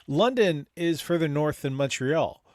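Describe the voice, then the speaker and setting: A man's voice: fairly high pitch, lively intonation, unhurried, slight background noise. A man chatting on a video call, rambling and hesitant with many fillers and restarts. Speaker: chat show co-host. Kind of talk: casual video chat.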